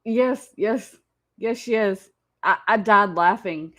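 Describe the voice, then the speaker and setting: A woman's voice: medium pitch, takes it slowly, fairly bright tone. A woman hosting a reaction show, mocking the clip in casual, teasing commentary and laughing often.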